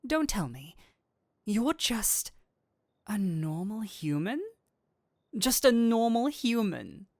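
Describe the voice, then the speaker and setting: A man plays a seductive, teasing incubus demon speaking straight to the listener in an audio roleplay. His delivery is theatrical and very slow, lingering over words with a flirtatious lilt. The voice sits close and dry on the mic. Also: high-pitched, sing-song, a little mellow, no echo, full character voice. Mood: curious, probing. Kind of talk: scripted roleplay audio drama, addressing the listener.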